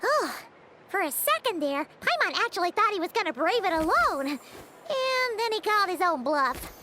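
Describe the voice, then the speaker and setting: Squeaky, very high-pitched fairy sidekick. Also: female voice, sing-song, normal speed, noisy background. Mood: surprised. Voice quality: squeaky, childlike. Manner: perky, exclamatory, refers to self in third person. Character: tiny excitable female floating fairy companion, childlike, chatty. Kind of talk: English video game voice acting.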